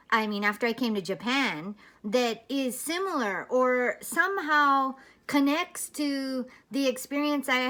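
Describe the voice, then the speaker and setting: A woman from Hawaii with a fairly high voice, chatting casually as a vlogger. She rambles through her stories in an informal way, dropping in local island slang as she goes. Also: fairly bright tone. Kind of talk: casual chat to camera.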